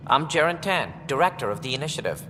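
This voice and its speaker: An actor playing a formal alien director of a space colony in a video game. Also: male voice, on the high side, very animated, fast. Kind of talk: video game cutscene acting. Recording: reverberant hall with music behind.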